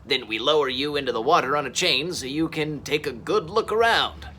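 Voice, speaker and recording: A man plays a helpful dinosaur boss from a children's storybook. He explains things emphatically, in a raised male voice with lively swings. Some noise sits behind him. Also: a little high, fairly quick. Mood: helpful, emphatic.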